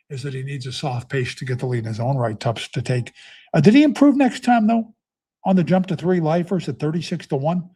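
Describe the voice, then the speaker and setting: A man's voice: fairly high pitch, a little mellow, husky. A middle-aged man talking casually on a live stream from his basement home studio, rambling and thinking aloud with many fillers as he handicaps horse races. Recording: echoey basement room.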